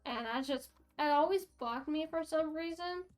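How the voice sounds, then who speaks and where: A woman's voice: sits high, moderate pace, faint music behind. A young French Canadian woman with a French Canadian accent, chatting casually on a livestream, rambling with lots of fillers and restarts. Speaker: streamer.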